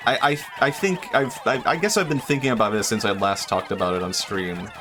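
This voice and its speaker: A young man streams a game and muses aloud in a low voice, rambling from thought to thought with lots of fillers and restarts. A faint hiss of room noise sits under his talk. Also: fairly quick. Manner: rambling and self-reflective, trails off, many fillers and restarts. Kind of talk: casual chat while gaming.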